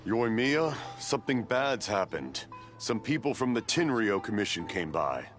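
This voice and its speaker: A man's voice: fairly low pitch, big pitch swings, measured pace, a bit bright. An actor playing a worried old father who is hard of hearing, in an anime game. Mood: anxious. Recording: game music playing behind.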